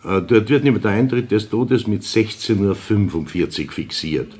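Actor as low-voiced Viennese police chief, lively delivery. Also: male voice, moderate pace, close to an everyday voice. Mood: dry, exasperated. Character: senior male police councillor leading a case briefing, brisk, dry. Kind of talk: radio crime drama dialogue.

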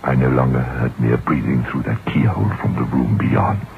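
An actor playing a troubled, curious writer who recounts his dread in a deliberate, suspenseful old-time radio horror monologue. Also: a bass voice, flat delivery, creaky, faint music behind. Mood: grave dread. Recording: muffled, noisy vintage radio recording.

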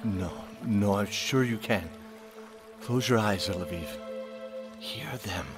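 A man acting in an audio drama as a tender, patient former paladin, soft and hesitant in manner. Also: fairly low pitch, slow pace, music in the background. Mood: patient encouragement.

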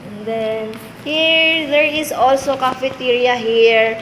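A woman with a Filipino accent narrates a casual tour at a slow, hesitant pace. Her voice sits fairly high. The sound bounces around hard indoor rooms. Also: steady tone, fairly bright tone. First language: Tagalog. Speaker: vlogger giving a tour. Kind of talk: casual walk-through commentary. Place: tiled rooms and corridors of a small gym, indoors. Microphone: handheld phone behind the camera. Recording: echoey indoor rooms, clear background noise.

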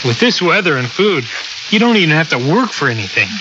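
A man's voice: a little high, sing-song, a little fast, noisy background. An actor playing a dutiful, easygoing spaceship crewman, speaking earnestly in a radio drama. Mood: musing. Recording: dull radio recording, loud music behind.

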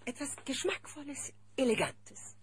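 An actress playing an imperious, power-hungry evil sorceress in a children's cartoon audio drama, purring and theatrical. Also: female voice, sits high, big pitch swings, measured pace. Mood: silky, scheming.

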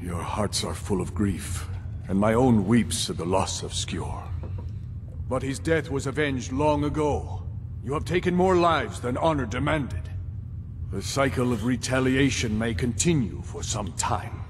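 An actor playing a weary, wise old male warrior leader in a fantasy game, speaking in a solemn, measured way. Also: medium pitch, slight background noise, natural voice. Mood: grieving, grave. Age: older. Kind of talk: scripted fantasy game dialogue. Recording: hall reverb with game music behind.

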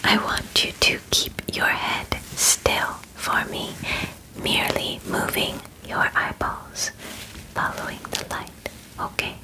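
A woman in her twenties whispering close to the mic in an ASMR roleplay as an examiner, deliberate and formal in her phrasing. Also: low-quality recording. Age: 20s. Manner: slow, soft, deliberate, formal clinical phrasing. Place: small bedroom, indoors.

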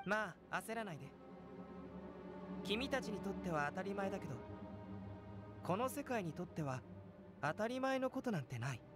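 Composed young male alchemist, lighter high register, measured scholarly delivery for a fantasy game. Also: lively intonation, music in the background. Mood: calm, explanatory. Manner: measured, composed, philosophical explaining. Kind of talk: Japanese anime game voice acting.

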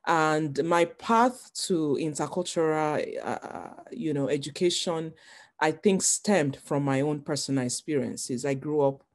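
A woman with a Nigerian accent introducing herself on a webinar panel, speaking in a measured, reflective way with fillers, over a video call. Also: deep voice. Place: home or office on a video call.

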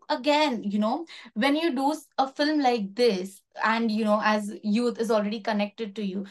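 Chatty young woman interviewer, slightly high voice. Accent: Indian. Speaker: entertainment interviewer. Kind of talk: casual conversational interviewing. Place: indoors on a video call.

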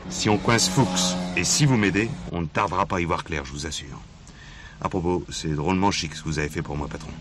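An actor playing a dogged, streetwise police inspector in a French radio play, insistent, deferential yet cheeky. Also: male voice, low-pitched, brisk, natural voice. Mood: confiding, determined. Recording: noisy archive drama recording, vehicle ambience.

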